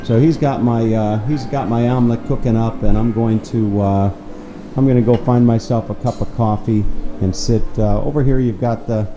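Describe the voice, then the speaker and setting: A man giving an upbeat, chatty walking tour of a hotel, often pausing with "uh" and repeating himself. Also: medium pitch, fairly even intonation, background noise. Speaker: travel vlogger touring a hotel. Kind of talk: casual walking tour narration. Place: hotel breakfast hall and lobby, indoors.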